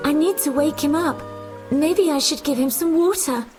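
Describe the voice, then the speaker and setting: A woman playing a gentle, kind-hearted young fairy-tale heroine in an animated storybook, earnest and expressive, with music behind her. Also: high voice, moderate pace, lightly acted. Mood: worried. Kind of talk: children's animated storybook acting.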